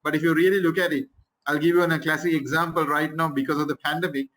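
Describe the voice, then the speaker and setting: A man's voice: on the high side, flat delivery, fairly bright tone. Man from Sri Lanka speaking as a manufacturer. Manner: measured, with fillers. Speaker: panelist, manufacturer. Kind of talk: panel discussion answer.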